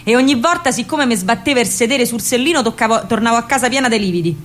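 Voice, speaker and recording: A woman's voice: on the high side, fast, close to an everyday voice. An actress playing a spirited, rebellious young working-class Roman woman, telling her story colloquially in a theatrical radio monologue with a Roman accent. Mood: amused recollection. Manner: colloquial, vivid, ironic storytelling.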